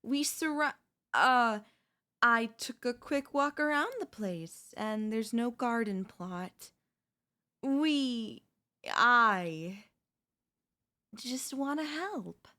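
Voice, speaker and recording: A woman's voice: a little high, lively intonation, drawn-out words, pauses often, pushed and bright, exaggerated voice. A woman voicing a chatty, falsely friendly female zombie in a roleplay audio, coaxing the listener in a wheedling, conversational way with hesitant slips. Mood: pushy, wheedling.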